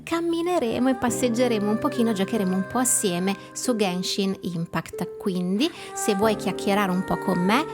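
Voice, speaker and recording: A chatty Italian woman hosts a gameplay video, addressing viewers informally. Her pitch is mid-range for a woman. Music plays clearly behind her voice. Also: a little fast, hardly any pauses. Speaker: gameplay channel host. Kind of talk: casual video outro to viewers. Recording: voice over prominent background music.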